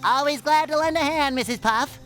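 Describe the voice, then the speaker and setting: A man voicing an eager, naive boyish sea sponge in a cartoon game, squeaky, nasal and perky. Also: brisk, a bit bright, faint music behind. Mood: eager gratitude. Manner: perky, earnest, over-eager. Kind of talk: cartoon game character acting.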